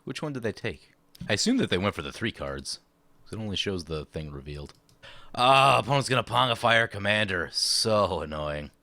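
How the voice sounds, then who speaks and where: A slightly hoarse man commenting on a card game he is playing, thinking aloud through his moves in a chatty, explanatory way. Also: fairly low pitch, very animated, normal speed, bright, forceful tone, no echo. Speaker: channel host.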